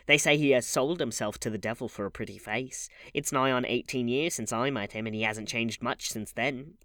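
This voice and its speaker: A man's voice: medium pitch, moderate pace, pushed and bright, dry recording, clean studio sound, close to an everyday voice. A man voicing a scornful, desperate middle-aged woman from a bar, speaking coarsely in a novel reading. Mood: vehement. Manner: hissing, sneering, coarse working-class speech.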